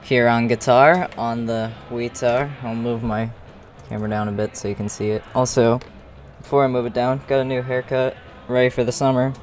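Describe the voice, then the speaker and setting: A man's voice: medium pitch, fairly even intonation, moderate pace, slight background noise. A young man giving casual, informal gameplay commentary in a rambling way, recorded in a small room at home. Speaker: gaming video creator.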